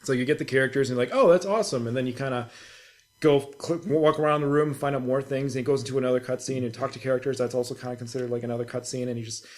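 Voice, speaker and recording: A man co-hosting a gaming podcast in casual, sweary chat, telling stories in an animated, informal way. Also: medium pitch, rapid-fire. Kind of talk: casual chat about video games.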